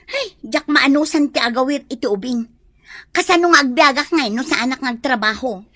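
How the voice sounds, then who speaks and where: A hard-pressed Filipino mother in a radio drama, defiant and quick to defend herself. Her voice is on the high side and complaining. The recording is close and dry. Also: lively intonation, sharp tone, dry recording, heavily acted. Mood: defensive.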